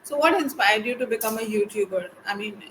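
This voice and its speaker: A woman's voice: medium pitch, brisk, fairly bright tone, slight background noise, low-quality recording. A young woman, a tutor asking friendly, curious questions. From India. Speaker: English tutor. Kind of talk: casual online conversation.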